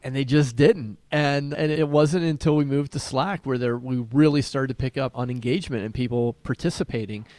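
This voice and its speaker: A man's voice: medium pitch, fairly even intonation, a little fast, hardly any pauses, a little mellow, no echo. A man co-hosting a writing podcast, talking casually and conversationally. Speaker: podcast co-host and writer.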